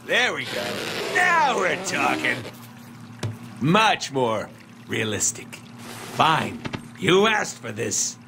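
An actor playing a cocky, foul-mouthed antihero mercenary in a video game, brash and sarcastic. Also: male voice, mid-range voice, wildly swooping pitch, takes it slowly, gravelly, long pauses, background noise, exaggerated voice. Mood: wry, playful. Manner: brash, sarcastic, exaggerated swooping delivery. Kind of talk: video game cutscene acting. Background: music.

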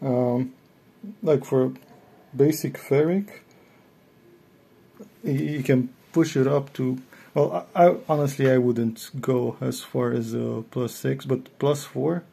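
A man giving a casual, unscripted hobbyist review, thinking aloud in a halting way. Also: mid-range voice, vocal fry, dark tone, slightly rough. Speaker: hobbyist reviewer of cassette tapes.

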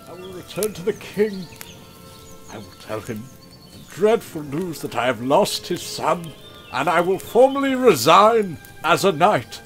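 Casting: male actor as pompous comic fantasy knight for audio drama, animated high voice, music underneath. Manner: pompous, melodramatic, talking to himself. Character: pompous, hapless male knight, self-important and easily dejected.

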